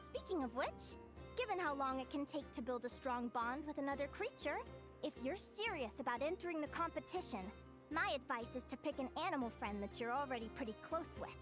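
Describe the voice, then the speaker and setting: A cheerful young creature researcher with a high, friendly voice. She explains things in a lively storytelling way. Game music runs clearly behind her. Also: a little fast. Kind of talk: video game voice acting.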